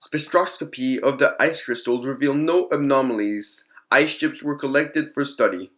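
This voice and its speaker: Detached researcher, higher-pitched, dictating a log acted by a man. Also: moderate pace, fairly bright tone, lightly acted. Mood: detached, clinical. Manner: detached, formal, matter-of-fact. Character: clinical researcher dictating an experiment journal. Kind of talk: scripted audio log.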